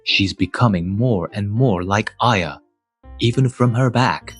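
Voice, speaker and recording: Man playing a slow, low-voiced wistful guardian. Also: slightly rough, faint music behind. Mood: reflective. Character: mild, wistful adult man, a devoted guardian. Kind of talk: scripted first-person manga dub narration.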